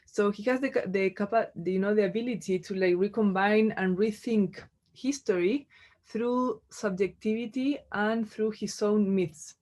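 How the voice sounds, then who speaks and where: A woman from Chile with a Chilean accent, a native Spanish speaker, giving an informal artist talk in a halting, conversational way peppered with filler words. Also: medium pitch, darkish tone. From Chile, Santiago. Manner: halting, conversational, frequent "like" and "you know". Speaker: visual artist giving a lecture.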